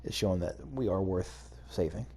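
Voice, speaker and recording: A man's voice: low-pitched, normal speed, warm tone, slightly rough. A man giving casual video game playthrough commentary, hesitantly thinking aloud as he goes. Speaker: gaming video host.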